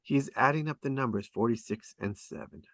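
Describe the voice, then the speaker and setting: A man reading stage directions aloud at a script table read, in a deliberate, descriptive way. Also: mid-range voice, dry recording.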